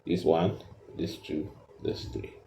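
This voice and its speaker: A man giving a step-by-step tutorial, explaining haltingly and repeating himself as he points things out. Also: low voice, creaky, warm tone, echoey room, a little hiss or hum, poor audio. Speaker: trading tutor.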